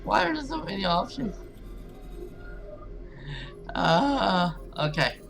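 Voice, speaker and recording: A man plays through a horror visual novel as the host, with loud music behind him. He reads the story text expressively and dramatically, slipping into casual asides as he reacts. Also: high-pitched, sing-song, takes it slowly, creaky. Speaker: gaming video host. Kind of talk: video game let's play, reading story text aloud with reactions.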